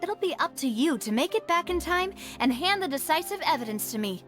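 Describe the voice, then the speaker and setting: High-voiced, poised young lady. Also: expressive, brisk, a bit bright, soft background music. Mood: determined. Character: poised, determined young lady leading an investigation. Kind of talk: video game voice acting.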